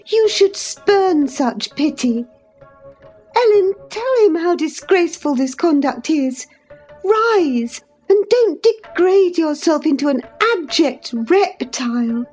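A woman's voice: very high-pitched, lively intonation, takes it slowly, a little mellow, soft background music, pitched above the performer's own voice, exaggerated voice, low-quality recording. A woman voicing a scornful, passionate young lady in a storybook, scolding with emphatic, imperious phrasing. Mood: scornful, angry.

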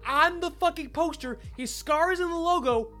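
A woman's voice: very high voice, normal speed, a bit bright, soft background music. A woman in casual podcast chat about films, speaking emphatically, repeating points and swearing often. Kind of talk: casual film review chat.

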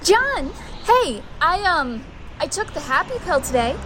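A woman's voice: sits high, big pitch swings, unhurried, background noise. An actress playing a flippant, defiant young woman in a conversational, teasing way in an audio drama. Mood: playful, giddy.

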